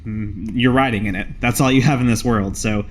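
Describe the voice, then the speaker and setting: A man plays a guarded female caravan trader in a tabletop game, practical and dismissive. The voice is mid-pitched and plain-spoken, with blunt phrasing. There is faint noise on the recording. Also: steady tone, brisk, close to an everyday voice. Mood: firm refusal.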